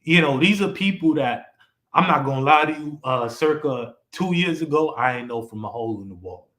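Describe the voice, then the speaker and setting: A man's voice: mid-range voice, expressive, normal speed, a bit bright. Laid-back man hosting in an echoey room. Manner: laid-back and rambling, with many fillers and restarts. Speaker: podcast host. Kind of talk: casual live-stream chat.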